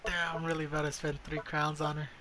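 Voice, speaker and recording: A man's voice: fairly high pitch, level tone, quick pace, noisy background. A man giving casual, profane gameplay commentary as a streamer, muttering to himself as he plays. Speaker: video game streamer.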